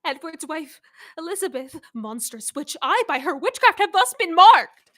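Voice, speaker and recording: A woman's voice: very high voice, big pitch swings, normal speed, a bit bright, no room sound, studio quality, exaggerated voice. A student actress playing a scheming, wrathful male would-be king in a Shakespearean radio play, theatrical and commanding. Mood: accusing, wrathful. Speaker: student actor.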